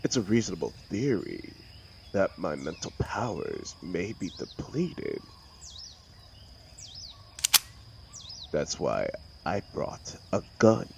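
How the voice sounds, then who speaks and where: Man playing a very deep, gravelly supervillain. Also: very animated, background noise, exaggerated voice. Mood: smug, wary. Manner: sneering, sarcastic, theatrical, drawn-out delivery. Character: arrogant mocking telepathic male supervillain, threatening and contemptuous. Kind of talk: dramatic audio roleplay monologue.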